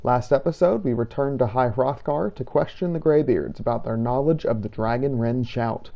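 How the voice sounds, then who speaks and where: A man's voice: mid-range voice, moderate pace, vocal fry, muffled recording. A man hosting a game playthrough, reading scripted role-play narration as a dramatic storyteller. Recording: faint background noise.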